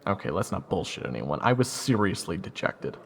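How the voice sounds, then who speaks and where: A man's voice: low-pitched, big pitch swings, a little fast, gravelly, a bit bright, in a large hall. A young man narrating his own story in first person, wry and self-deprecating. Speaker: narrator and lead.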